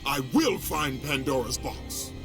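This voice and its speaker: Fierce Spartan warrior man, vengeful, intense, deliberate pace, dramatic game delivery, loud music behind. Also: mid-range voice, sing-song. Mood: defiant, vengeful. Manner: intense, declamatory, menacing.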